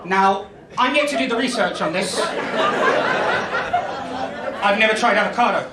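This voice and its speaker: A young man with a West Country lilt works a comedy club stage, landing self-mocking lines deadpan and pitching his voice high. The room rings around him, and a crowd laughs and murmurs under the chatter. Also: normal speed, echoey room, heavy background noise. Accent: West Country English. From Ireland, raised in Spain. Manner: self-deprecating, deadpan punchlines. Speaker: stand-up comedian. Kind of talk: stand-up comedy set. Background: audience laughing.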